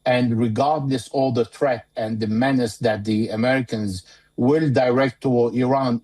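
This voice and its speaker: A man giving interview commentary as a war analyst, speaking in a measured, deliberate way with pauses mid-phrase. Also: medium pitch, a little mellow, poor audio.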